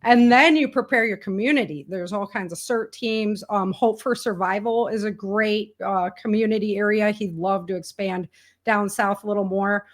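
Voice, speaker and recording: A woman's voice: medium pitch, moderate pace. Emphatic woman in casual, colloquial conversation. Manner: colloquial and emphatic, acts out quoted remarks. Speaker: interview guest, author and podcaster on survival prepping.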